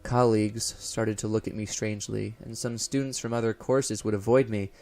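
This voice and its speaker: A man playing a haunted college professor unraveling into paranoid dread, narrating a horror audio drama in a formal, deliberate, theatrical manner. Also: lowish voice, flat delivery, natural voice. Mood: uneasy, haunted. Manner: formal, deliberate, theatrical, swelling from hushed to frantic. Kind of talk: first-person gothic horror audio narration. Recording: music behind the voice.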